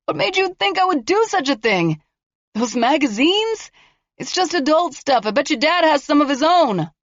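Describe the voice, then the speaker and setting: A woman voicing an unhinged male killer who taunts his victim through a door, mocking and sing-song, then hushed and threatening, on a dull recording. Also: sits high, very animated, moderate pace, no room sound, pitched above the performer's own voice. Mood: taunting.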